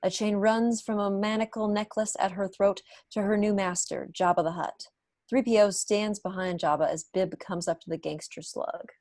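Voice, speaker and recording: A woman's voice: mid-range voice, steady tone, normal speed, darkish tone, no room sound, clean studio sound. A young adult woman reading stage directions as narrator in an online table read, recorded at home over earphones on a video call. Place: small room at home, indoors. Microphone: wired earphones on a video call.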